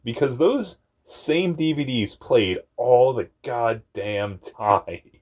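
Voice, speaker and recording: A young man with a very deep voice telling a personal story in a rambling, candid way, often laughing at himself and swearing. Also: slow pace, a little mellow, slightly rough. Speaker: vlogger.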